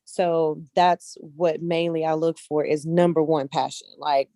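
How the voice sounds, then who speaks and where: A woman's voice: sits low, steady tone, a little slow, mellow, no room sound. A woman speaking casually and earnestly as an interview guest, often repeating phrases for emphasis. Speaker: guest casting director, actress and model.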